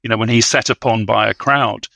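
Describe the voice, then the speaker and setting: A man with a bright, forward voice talks as an analytical podcast panelist. He is articulate and scholarly, choosing bookish words, recorded close and dry. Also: on the low side, fairly even intonation, fairly quick, creaky, no room sound. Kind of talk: analytical film discussion.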